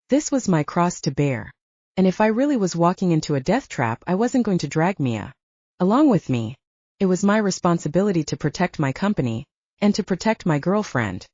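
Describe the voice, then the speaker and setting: Female audiobook narrator, slightly low and mellow, scripted fiction read, close and dry. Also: no room sound, dull audio.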